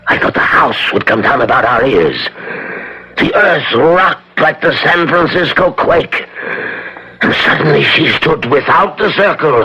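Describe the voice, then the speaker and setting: An actor playing a stern, reproachful occult master in a formal, portentous style of seventies radio drama. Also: male voice, medium pitch, creaky, pushed and bright, breathy edge, exaggerated voice. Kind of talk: 1970s radio drama acting. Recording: muffled vintage radio recording.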